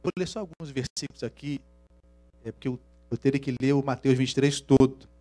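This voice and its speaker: A man from Rio with a Minas Gerais accent, preaching a sermon, swinging from hushed asides to loud, drawn-out emphasis. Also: mid-range voice, fairly even intonation, faint music behind. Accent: Minas Gerais Portuguese. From Rio de Janeiro, Brazil. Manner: shifts from hushed asides to loud, slow emphatic scripture reading. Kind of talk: sermon to a congregation.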